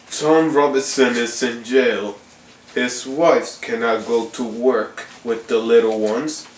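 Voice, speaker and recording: A performer playing an earnest, bossy preacher man puppet, declaiming a mock church sermon in a halting, pushy way. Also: mid-range voice, close to an everyday voice. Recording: echoey room with background noise.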